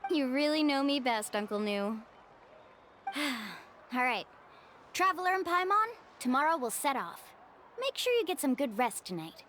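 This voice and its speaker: A woman voicing an upbeat, friendly young tour guide in a video game, with an earnest, expressive delivery. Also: high-pitched, moderate pace, slight background noise. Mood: curious, upbeat.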